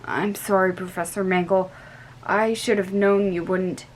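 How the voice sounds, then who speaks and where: A woman's voice: medium pitch, fairly even intonation, measured pace, a little hiss or hum, lightly acted, rough recording. A young woman reading aloud and voicing an earnest, anxious boy in a slightly raised, eager voice.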